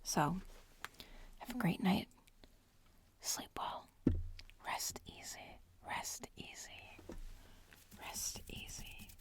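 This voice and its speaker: A young woman speaking in a soft, breathy whisper with some fry, chatting casually and gently as an ASMR creator. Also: mid-range voice, expressive, very slow, pauses often.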